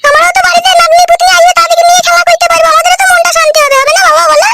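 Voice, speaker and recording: A man voicing a coaxing middle-aged mother in a comedy cartoon, with a Bengali accent and a shrill, pitch-shifted voice. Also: flat delivery, racing, creaky, loud music behind the voice.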